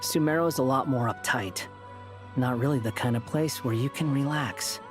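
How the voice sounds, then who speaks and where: Casting: male actor as pragmatic, guarded scholar-traveler for game drama, terse and serious, middling pitch, music underneath. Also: moderate pace, close to an everyday voice. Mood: matter-of-fact. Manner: matter-of-fact, terse.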